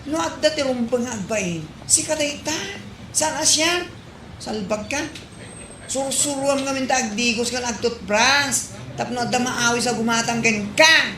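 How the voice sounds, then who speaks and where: A woman's voice: fairly high pitch, a little slow, natural voice. A woman playing a blunt, fed-up village neighbour in a comedy drama, scolding and exclaiming. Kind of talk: Ilocano comedy drama acting. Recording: echoey room with background noise.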